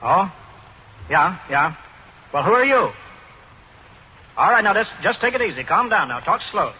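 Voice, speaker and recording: American desk sergeant, official and brisk, slightly high voice, old muffled radio drama. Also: male voice, very animated, breathy edge, heavily acted. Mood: urgent, controlled. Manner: clipped, official. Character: dutiful male police desk sergeant, orderly and brisk. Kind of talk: old-time radio police drama acting.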